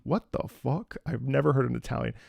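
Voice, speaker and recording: A man with a gravelly, fry-tinged voice talks casually about the music he's reacting to, laughing often and thinking out loud. He's an opera singer from the United States with a mid-range voice. The sound is dry and close to the mic. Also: medium pitch, fairly quick, no room sound. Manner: chatty, laughs often, talks through half-formed thoughts.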